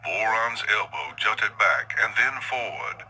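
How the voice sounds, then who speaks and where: A man's voice: on the low side, lively intonation, a little slow, bright, forceful tone, rough recording. A man reading a scripted story as narrator in a dramatic storytelling style, with music underneath.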